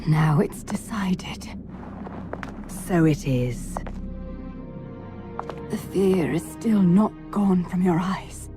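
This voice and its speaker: A woman's voice: on the low side, drawn-out words, pauses often. A woman playing a stern, solemn witch in a video game, speaking in a grave, formal, declamatory way. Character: stern, solemn witch rival guarding her clan's treasures. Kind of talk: video game cutscene acting. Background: loud music.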